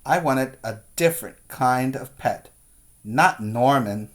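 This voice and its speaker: Slow-paced man reading aloud to children. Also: medium pitch. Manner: expressive, emphatic storytelling for young children. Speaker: preschool teacher. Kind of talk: children's read-aloud with asides.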